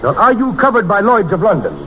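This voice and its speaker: Dry, high-voiced guest star. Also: male voice, sing-song, a little fast. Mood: dry, teasing. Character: dry, courtly, needling film-star guest. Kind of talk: 1940s radio comedy acting. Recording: dull, faintly noisy vintage radio.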